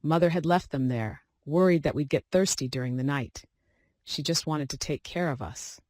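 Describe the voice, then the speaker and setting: A man with a fairly high voice narrates a scripted spooky tale. The recording is dry, free of room echo.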